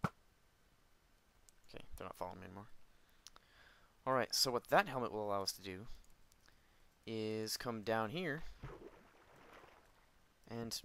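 A young man casually commenting on his own gameplay, talking himself through each move, his voice a little hoarse and cracking, with sudden yelps when he gets hurt. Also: fairly low pitch, slow, pauses often, pushed and bright. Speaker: Minecraft let's player.

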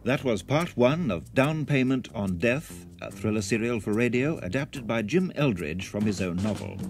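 A man's voice: medium pitch, lively intonation, moderate pace. A man reading a scripted credits announcement as a radio drama's continuity announcer. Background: music.

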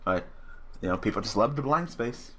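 A young man with a hoarse, worn-out voice commentating a card game online, swearing and ranting casually. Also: medium pitch, fairly quick, slight background noise. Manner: profane, rambling, excitable rants. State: hoarse, losing his voice. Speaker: gaming channel host.

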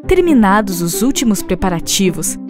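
A woman's voice: mid-range voice, big pitch swings, normal speed. A woman giving a scripted storybook narration for children, as the narrator of a fable. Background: music behind the voice.

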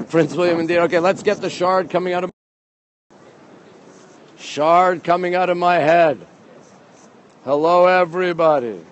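A middle-aged man hosting a casual walking live stream, chatty and excitable, often repeating himself as he reacts to viewers. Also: high-pitched. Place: outdoors on busy city streets, walking. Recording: outdoor street and traffic noise.

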